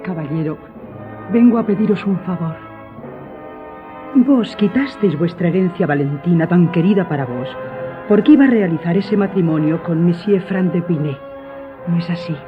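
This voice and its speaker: An actress playing a scheming aristocratic stepmother in a Spanish-language radio drama, speaking in a formal, measured, pleading way. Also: fairly low pitch, natural voice. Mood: solemn pleading. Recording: muffled phone-like audio, music behind.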